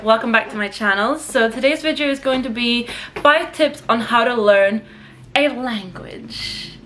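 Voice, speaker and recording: A woman's voice: a little high, sharp tone, slight background noise. A young woman from Sweden who vlogs, chatting to her camera in a casual, rambling way, with plenty of fillers and restarts. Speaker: vlogger.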